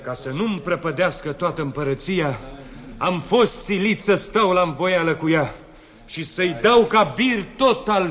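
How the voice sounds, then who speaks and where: An actor playing a hearty, boastful old emperor in a 1960s radio drama, declaiming grandly. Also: male voice. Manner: booming, declamatory. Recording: old radio studio tape with crowd.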